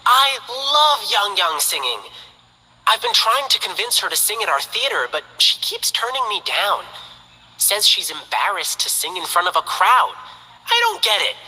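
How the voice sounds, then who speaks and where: A peppy young lion dancer. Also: female voice, medium pitch, very animated, moderate pace, bright, forceful tone, slightly rough, big-room sound, heavily acted, poor audio. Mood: cheerful. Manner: upbeat, playful, chatty.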